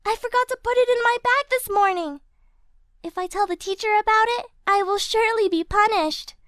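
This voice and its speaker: A woman's voice: extremely high pitch, a little fast, a bit bright, dry recording, clean studio sound, pitched above the performer's own voice. A woman voicing a worried little cartoon schoolgirl, earnest and childlike, explaining things carefully. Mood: troubled, pleading. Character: worried little schoolgirl confiding in her grandmother.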